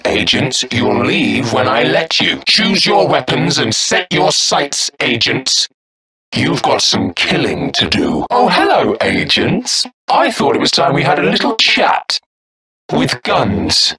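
Game role: vengeful robot arena host, mock-polite, theatrical, deliberate pace, hugely animated. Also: male voice, medium pitch, reverberant, big-room sound, recorded from a distance, full character voice, poor audio. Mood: bitter, vengeful, then gleefully menacing. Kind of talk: video game announcer voice lines.

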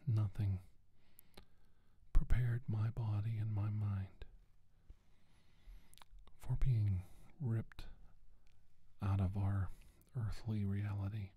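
A middle-aged man playing a shaken doctor giving a halting deposition about alien abduction in an ASMR role-play. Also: on the low side, flat delivery, breathy edge, highs cut off, full character voice. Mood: hushed, haunted. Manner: halting, hesitant, deliberate.

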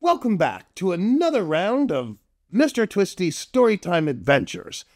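A man's voice: high-pitched, sing-song, unhurried, darkish tone. A middle-aged man presenting a children's show as a playful entertainer, with a theatrical, clownish manner and corny jokes. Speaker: children's entertainer and storytime host.

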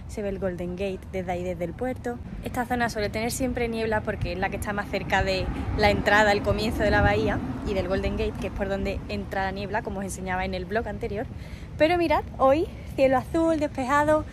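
A woman's voice: mid-range voice, brisk, noisy background. A woman, chatting casually to camera in a vlog, her voice a little stuffy a cold. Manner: chatty and upbeat, many fillers. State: slightly congested from a cold. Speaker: vlogger. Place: home indoors, then city street outdoors. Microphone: phone or camera held at arm's length.

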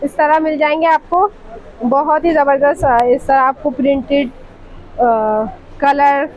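A woman's voice: high-pitched, steady tone, measured pace, dull audio. A woman hosting a casual shopping vlog, enthusiastically praising and repeating what the seller says. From Pakistan. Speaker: vlog host. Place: indoor fabric shop. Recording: clear background shop noise.

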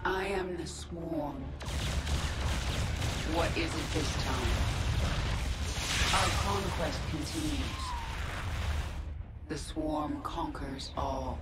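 Video game villainess: vengeful swarm queen, imperious and menacing, slow delivery with heavy echo. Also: low voice, steady tone, very noisy. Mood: commanding. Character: vengeful alien queen commanding a monstrous swarm. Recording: echoing game audio under loud music.

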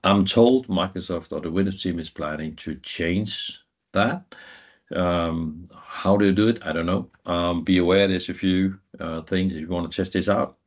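Low-voiced man, halting technical presenter. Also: steady tone. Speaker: guest presenter, IT professional. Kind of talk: informal technical presentation over slides.

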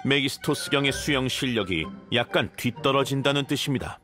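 Courtly, lively male raven attendant. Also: mid-range voice, fairly quick, soft background music. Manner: formal, deferential. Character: courtly, dignified male raven familiar serving his lady. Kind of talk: anime game voice acting.

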